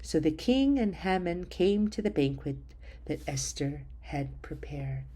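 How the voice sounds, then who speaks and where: A woman reading scripture aloud in a slow, measured, solemn way. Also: deep voice, lively intonation, warm tone, breathy edge. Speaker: scripture reader.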